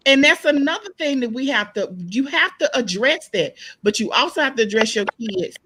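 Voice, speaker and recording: Loud, animated woman. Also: high voice, normal speed, breathy edge. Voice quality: loud speaking voice. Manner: animated storyteller, acts out quoted exchanges. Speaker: panelist in a group discussion. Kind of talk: casual group discussion.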